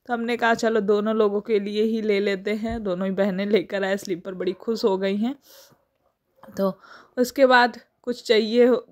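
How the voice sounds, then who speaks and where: A woman in her thirties from India, chatting casually through a family vlog, her throat sore. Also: medium pitch. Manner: chatty, homely, laughs often. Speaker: family vlogger. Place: home and market.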